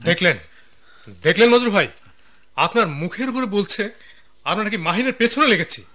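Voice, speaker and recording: A man's voice: sits high, animated, moderate pace, sharp tone. A man playing a mocking, insinuating office colleague who spreads gossip in a radio drama. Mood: indignant. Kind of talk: broadcast radio drama acting.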